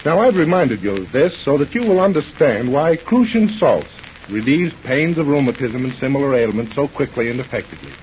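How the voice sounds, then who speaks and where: A man's voice: medium pitch, big pitch swings, fairly quick. A man reading a scripted sponsor message as a formal, earnest announcer in 1930s radio style. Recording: muffled, hissy old radio recording.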